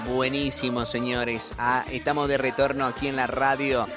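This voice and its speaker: A man with a Bolivian accent hosting lively, bantering radio talk. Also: medium pitch, moderate pace. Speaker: radio host. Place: radio studio. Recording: loud music and crowd behind.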